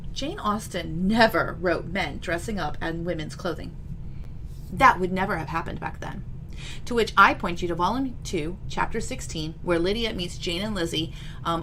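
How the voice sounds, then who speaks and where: A woman's voice: lowish voice, a bit bright. A middle-aged woman talking to camera as an author introducing her book, chatty and wry with knowing asides, in a home office. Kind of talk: semi-scripted video introduction to camera. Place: small home office with bookshelves, indoors. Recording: clearly noisy room recording.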